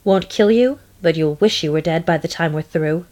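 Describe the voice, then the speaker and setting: A woman playing a confident, commanding superheroine in a radio play, brisk and assertive with technical talk. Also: fairly low pitch, darkish tone, natural voice. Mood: threatening.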